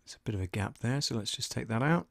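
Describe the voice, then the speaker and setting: A man's voice: medium pitch, animated, quick pace, vocal fry, no echo. A middle-aged British man hosting a casual screen-share tutorial, hesitant as he thinks aloud while working. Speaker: voice-over artist and audio producer hosting a livestream.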